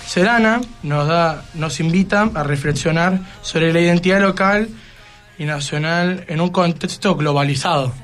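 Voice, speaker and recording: Teen boy radio guest, slightly high voice, hesitant delivery, light music behind. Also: moderate pace, a bit bright. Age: teenager. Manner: hesitant, searching for words. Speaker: student guest on school radio show. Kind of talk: reading a report and informal opinion. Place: radio studio.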